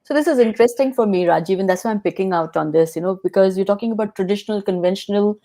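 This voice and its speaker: A woman hosting an interview show, opening with a scripted introduction and then asking her guest measured, earnest questions. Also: medium pitch, warm tone. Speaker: podcast host.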